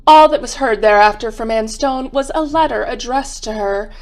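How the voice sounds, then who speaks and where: A woman narrating a radio mystery in a measured, formal, dramatic storytelling style. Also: fairly high pitch.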